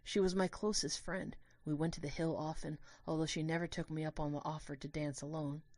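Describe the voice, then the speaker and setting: A woman narrates as a lovestruck young student in a first-person audio drama. The voice is deep for a woman and recorded very dry. The storytelling is earnest and expressive, weighed with guilt. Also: a little fast, dry recording, close to an everyday voice. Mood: wistful, anguished.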